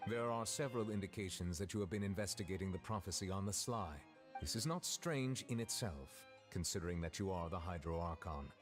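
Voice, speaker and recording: An actor playing a stern, solemn adult male judge in a video game, speaking formally and in measured phrases. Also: low-pitched, close to an everyday voice. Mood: measured, reproachful. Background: orchestral game music.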